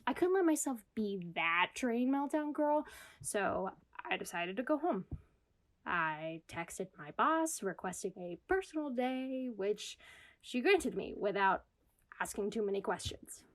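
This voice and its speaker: A woman's voice: mid-range voice, very animated, unhurried. A woman acting an anxious, self-deprecating single New Yorker in a comedic audio drama monologue about herself. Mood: wry, rueful. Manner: self-deprecating, wry, confessional. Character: anxious single New York woman spiraling into existential crisis. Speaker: lead actor in radio comedy.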